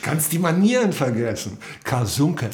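A man's voice: a little high, very animated, unhurried, a little mellow, roomy sound. An actor playing a brash, leering, ill-mannered man with an insolent drawl in a radio drama.

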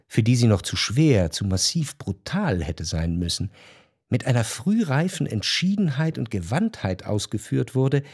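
A man narrating literary prose for a radio drama in measured, deliberate phrases. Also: medium pitch, big pitch swings, a little mellow, husky, no echo. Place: radio studio. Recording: dry studio with music behind.

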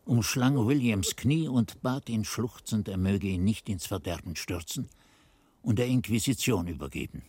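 An actor playing an earnest, reflective monk who tells his story in expressive, deliberate literary narration for a radio drama. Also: lowish voice, lightly acted. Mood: earnest recounting.

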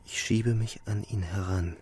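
An actor playing an anguished young soldier in a radio play, delivering a grave, halting, intense first-person monologue. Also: male voice, on the low side, fairly even intonation, a little mellow, slightly rough, full character voice. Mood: horrified. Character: anguished young male soldier recounting a killing in a shell hole. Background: music.

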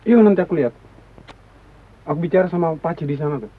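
Young hostage taker in radio play, talks super fast, kinda high, tinny old recording. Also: male voice, slightly rough. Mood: hurried. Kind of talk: radio drama dialogue.

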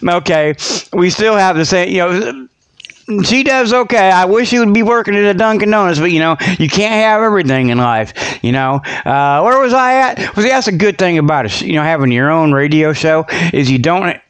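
Guy with a high voice doing a rambly folksy radio bit, keeps wandering off track. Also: expressive, moderate pace, a bit bright, smooth. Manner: folksy, digressive, peppered with "okay" and "you know"; chuckles at own jokes. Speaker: radio show host. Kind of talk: rambling comedic monologue.

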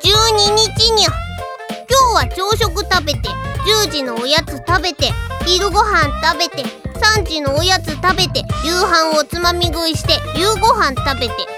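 A vain, lazy little alien cat-girl princess from an anime audio drama. The voice is high, childlike and cutesy, with a bossy, self-important delivery and cat-like verbal tics. Prominent music plays behind it. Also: animated, normal speed. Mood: chirpy diary reading. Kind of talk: anime audio drama acting, reading a diary aloud.

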